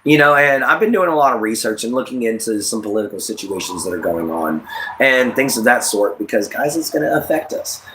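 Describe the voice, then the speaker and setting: A man's voice: mid-range voice, lively intonation, brisk, a bit bright, rough recording. An American man in his late thirties hosting a casual livestream, giving rambling, repetitive opinions and addressing listeners directly. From United States. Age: late 30s. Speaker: livestream host.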